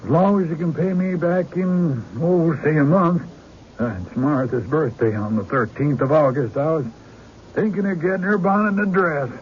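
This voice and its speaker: A man's voice: fairly high pitch, normal speed, close to an everyday voice. An actor playing a decent, folksy country man with neighbourly talk in an old-time radio drama. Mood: friendly, generous. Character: George, decent, easygoing country man owed money. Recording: noisy, dull lo-fi radio recording.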